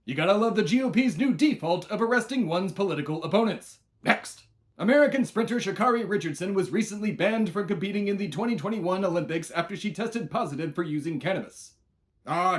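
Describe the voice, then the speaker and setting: A man's voice: high voice, animated, fairly quick, no echo. A man playing a bombastic, self-important immortal embodiment of America in a comedy podcast monologue. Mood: shouting, emphatic. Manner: pompous, shouting announcer bursts, rambling asides.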